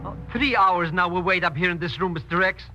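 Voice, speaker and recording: An actor in 1940s radio style playing an excitable, grumbling comic sidekick from New York, jittery and wisecracking. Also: male voice, high voice, brisk, over music, natural voice.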